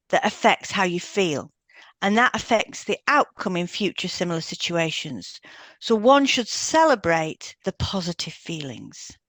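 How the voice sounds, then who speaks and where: A woman's voice: medium pitch, fairly bright tone, dry recording. A woman reading a semi-scripted explanatory podcast as co-host.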